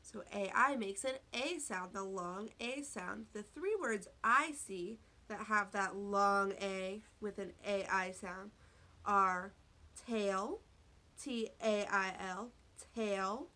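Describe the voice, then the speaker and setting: Slow, bright-voiced woman teaching reading. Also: mid-range voice. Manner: deliberate, spells out words and asks questions. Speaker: elementary school teacher. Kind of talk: read-aloud phonics lesson for young children.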